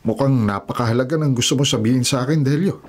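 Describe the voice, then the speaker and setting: A man playing a grave, superstitious old grandfather with a deliberate, solemn manner in a Filipino radio drama. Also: medium pitch, background noise, close to an everyday voice. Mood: curious, courteous.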